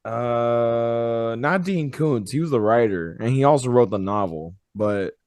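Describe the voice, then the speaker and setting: A hoarse man hosting a podcast, chatting in a relaxed, rambling way with some swearing. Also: mid-range voice, unhurried, no echo, clean studio sound. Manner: relaxed, rambling, fond of profanity. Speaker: podcast host. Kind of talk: casual chat.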